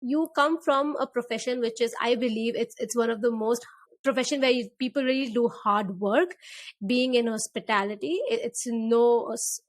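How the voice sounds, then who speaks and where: Higher-voiced woman hosting a podcast interview. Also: normal speed, no echo. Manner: encouraging, affirming. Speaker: podcast host interviewing a guest. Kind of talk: conversational interview.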